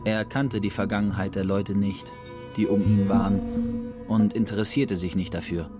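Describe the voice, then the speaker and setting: A man's voice: fairly low pitch, flat delivery, normal speed, lightly acted. An actor narrating a literary radio play as a reflective camp prisoner recalling his memories. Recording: music behind narration.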